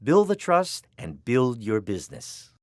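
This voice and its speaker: A male narrator reads a scripted instructional piece in a mid-pitched voice. His phrasing is deliberate and emphatic, with plenty of rise and fall. The sound is dry, with very little room on it. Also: huge pitch swings, no echo. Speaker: training film narrator.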